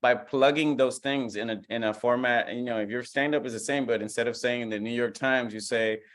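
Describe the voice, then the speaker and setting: A male comedian chatting on a podcast, talking in a quick, upbeat way. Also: medium pitch, steady tone. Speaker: guest comedian. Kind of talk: casual podcast chat.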